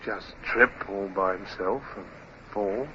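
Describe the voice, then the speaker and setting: An actor playing an insolent sailor in a radio drama, slyly deferential and full of pointed insinuation. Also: male voice, fairly low pitch, takes it slowly. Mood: sly, insinuating. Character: insolent, insinuating male sailor, the captain's toady. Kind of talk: 1950s radio drama acting. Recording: muffled, hissy vintage radio recording.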